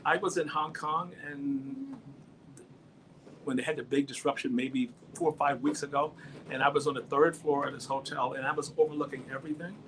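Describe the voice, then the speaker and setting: A man's voice: on the high side, animated, normal speed. Storytelling man with faint background noise. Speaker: guest, international business consultant. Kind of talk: casual anecdotal chat.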